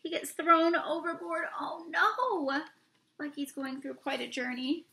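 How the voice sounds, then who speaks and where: A young woman with a high, bright voice reads a story to children indoors. She talks through each picture expressively, stretching words and putting questions to the listeners. Her voice often drops into creak. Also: measured pace. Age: young adult. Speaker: teacher reading a picture book aloud. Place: small room indoors.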